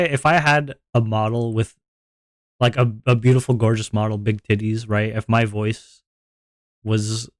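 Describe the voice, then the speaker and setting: Deep-voiced man rambling to his stream. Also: dry recording. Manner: rambling, self-reflective, repeats words. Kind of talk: casual chat to stream viewers.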